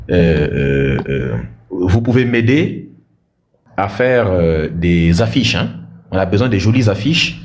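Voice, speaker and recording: A man's voice: lowish voice, darkish tone, reverberant, slight background noise. A man hosting a livestream, talking bluntly and combatively, with frequent hesitations and repeated words. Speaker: livestream host. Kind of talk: livestream monologue and debate.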